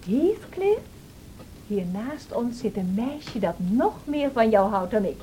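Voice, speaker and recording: Spirited young wife in radio drama: haughty, taunting, high-pitched and very animated, slightly noisy vintage recording. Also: female voice, takes it slowly. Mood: gleeful, taunting. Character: spirited, teasing, imperious young married woman.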